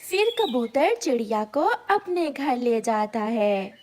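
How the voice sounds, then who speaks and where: Slow, high-voiced woman storyteller. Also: sing-song, a little mellow, slight background noise. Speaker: narrator. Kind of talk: scripted children's story narration.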